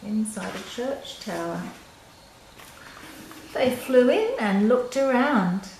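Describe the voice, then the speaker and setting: A woman's voice: on the high side, animated, takes it slowly. An older woman reading a children's picture book aloud in short, simple phrases, with chatty asides to young listeners. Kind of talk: read-aloud story with casual asides. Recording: roomy echo, faint background noise.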